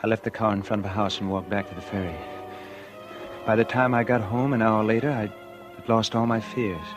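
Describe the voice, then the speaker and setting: A man's voice: fairly low pitch, fairly even intonation, fairly quick, natural voice. An actor playing a guilty, scheming man who confides his crime in 1940s radio suspense style. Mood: uneasy, calculating. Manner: confessional first-person narration. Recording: vintage 1940s radio with loud music.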